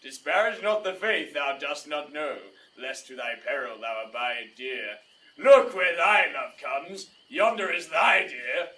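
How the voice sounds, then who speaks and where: A man's voice: on the high side, lively intonation, a little slow, bright, forceful tone, recorded from a distance, poor audio. An actor playing a besotted young suitor in a Shakespearean audio drama, declaiming his verse in an extravagant, theatrical way. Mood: scornful, defiant.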